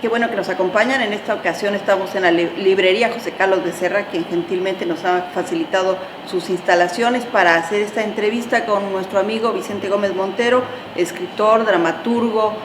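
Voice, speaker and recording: A woman's voice: mid-range voice, fairly quick, few pauses. A woman with a Mexican accent hosting an interview indoors in a bookstore. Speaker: interviewer, host. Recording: roomy echo, clear background room noise.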